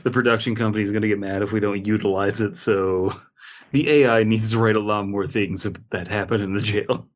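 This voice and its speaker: A man with a somewhat low voice talks as a podcast panelist. He is playful and sweary, building comic riffs in loose, casual conversation. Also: fairly even intonation, fairly quick. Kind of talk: casual comedic chat.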